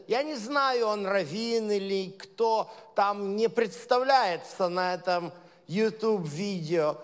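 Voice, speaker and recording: A man leading his congregation in an informal sermon, talking conversationally with many hesitations and building to emphatic points. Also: sits high. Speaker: leader of a Messianic congregation. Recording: long reverb of a large hall.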